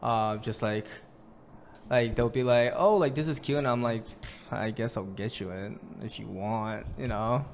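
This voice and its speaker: A man's voice: mid-range voice, moderate pace, a little hiss or hum. A man from New York chatting casually on a podcast, telling stories about his parents, laughing and swearing freely. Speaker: podcast co-host. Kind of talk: casual chat.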